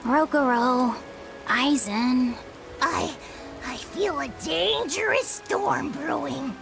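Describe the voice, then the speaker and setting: A woman playing a gentle, earnest young boy in a video game, speaking softly and hesitantly. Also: high-pitched, expressive, slow, creaky, over music, heavily acted. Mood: shy, earnest. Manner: soft, hesitant, polite. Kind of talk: English video game voice acting.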